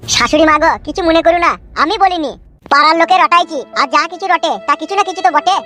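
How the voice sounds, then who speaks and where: Man playing sheepish, fast-talking village son-in-law. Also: extremely high pitch, fairly even intonation, sharp tone, soft background music. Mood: apologetic. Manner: hesitant, bumbling, whiny protesting. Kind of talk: Bengali cartoon acting.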